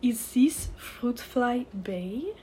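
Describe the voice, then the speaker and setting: A woman voicing a small, boastful, theatrical male fantasy creature with an exaggerated French accent, declaiming pompously and excitedly in a storybook reading. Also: on the high side, extremely slow, a little hiss or hum, voice pushed up. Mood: excited, shrieking. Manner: shrieking, bouncing, pompous declamation.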